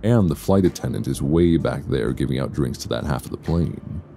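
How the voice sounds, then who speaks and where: A man playing an affectionate, playful boyfriend in an intimate ASMR roleplay, talking softly and teasingly in an unhurried, conversational way. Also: a bass voice, animated, creaky, heavily acted. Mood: surprised, hesitant. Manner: soft teasing, unhurried, conversational with hesitant pauses. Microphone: close binaural microphone. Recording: close binaural recording, faint background noise.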